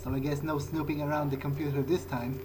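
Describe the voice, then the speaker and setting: A man speaking in character as a vampire in a game playthrough, thinking aloud in a halting, reflective way. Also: fairly high pitch, little pitch movement, background noise. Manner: halting, musing to himself. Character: male vampire player character, reflective, morally uneasy. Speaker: gameplay video host speaking in character.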